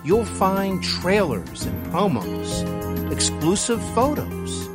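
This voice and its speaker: A man hosting an interview podcast, speaking in a courteous, deliberate and effusive way. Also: high-pitched, sing-song, loud music behind the voice. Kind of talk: scripted introductions and polite interviewing.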